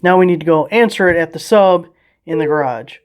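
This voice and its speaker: A man's voice: on the high side, a little fast, a little hiss or hum. Casual man testing a gadget. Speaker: product reviewer. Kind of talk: casual hands-on product test narration. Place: indoors around a house, behind the camera.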